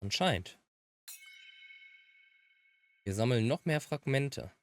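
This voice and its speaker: A man's voice: medium pitch, lively intonation, slow pace. A man who is a native German speaker, casually commenting on a game as a let's player.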